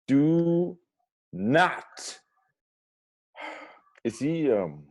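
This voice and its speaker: A man's voice: a little high, very animated, very slow, a little mellow, full character voice. A man playing a dramatic, panicky, bossy housemate in a comedy table read. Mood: shocked.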